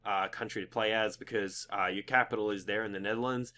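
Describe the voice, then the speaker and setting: Slightly croaky, low-voiced young man. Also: steady tone, quick pace, bright, forceful tone. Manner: informal, rambling, mildly sweary. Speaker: gaming video host. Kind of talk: casual gameplay commentary.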